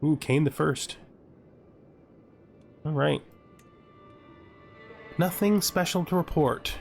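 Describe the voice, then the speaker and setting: A man with a slightly high voice hosts a casual let's play, with faint music underneath. He thinks out loud about his choices and slips between his own commentary and reading game text aloud. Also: slow, creaky. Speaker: gaming video host, player narrating a playthrough.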